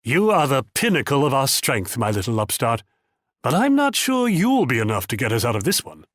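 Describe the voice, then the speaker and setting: An actor playing a proud, wealthy military ruler in a video game, pompous and condescending in a theatrical way. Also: male voice, mid-range voice, sing-song, a little fast, no room sound, clean studio sound. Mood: smug, boastful, then resigned, grave. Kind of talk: video game character voice lines.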